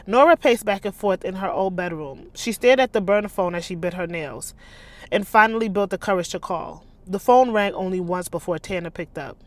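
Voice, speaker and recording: A woman's voice: medium pitch, moderate pace, dry recording. A woman author reading her own novel aloud, with sassy, incredulous, slangy commentary in a casual style.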